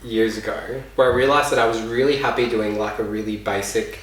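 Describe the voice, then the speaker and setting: Easygoing podcast host. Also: male voice, lowish voice, steady tone, brisk, fairly bright tone. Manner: easygoing, joking, laughs often. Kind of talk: casual podcast chat. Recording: echoey room, distant mic, faint noise.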